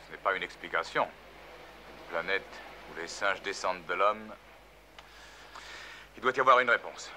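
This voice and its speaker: An actor playing a puzzled human astronaut in a French film dub, insistent and baffled. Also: male voice, low-pitched, a little slow, long pauses, sharp tone, slight background noise, exaggerated voice.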